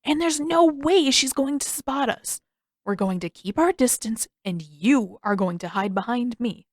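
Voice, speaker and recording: A woman's voice: a little high, animated, measured pace, no room sound, studio quality. A woman voicing an intense, suspicious teenage boy in an audiobook, emphatic and insistent. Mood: confident, scheming. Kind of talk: acted audiobook dialogue.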